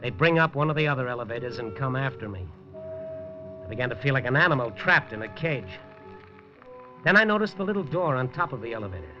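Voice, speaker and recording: A man's voice: medium pitch, expressive, brisk, over music, lightly acted. A man playing a hard-boiled reporter narrating. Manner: dry, wisecracking, terse. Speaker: lead narrator. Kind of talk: 1950s radio drama narration. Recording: dull 1950s radio broadcast.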